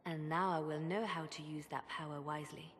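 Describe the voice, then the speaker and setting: A woman's voice: low-pitched, fairly even intonation, a little fast, lightly acted. A woman playing a reflective, solemn former spymaster in a fantasy video game. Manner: solemn, introspective. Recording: echoing hall, faint ambient noise.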